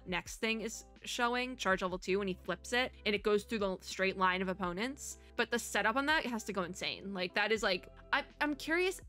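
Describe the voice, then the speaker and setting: A woman with a bright, forward voice talks casually through a game reaction. She is animated and rambling, thinking out loud as she switches between reading and commenting. Music plays behind her voice. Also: mid-range voice. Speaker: VTuber reaction video host.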